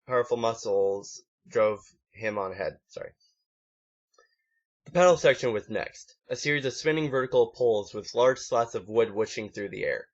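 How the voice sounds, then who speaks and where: A man reads fan fiction aloud as an amateur narrator, with a middle-pitched voice. He stumbles over words and restarts as he goes. The recording is muffled and lo-fi. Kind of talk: scripted story narration.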